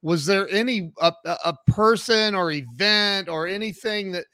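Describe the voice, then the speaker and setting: A man hosting his own interview show, speaking haltingly and repeating words as he starts his sentences. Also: sits high, pushed and bright, no echo, clean studio sound. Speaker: podcast host. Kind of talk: interview chat.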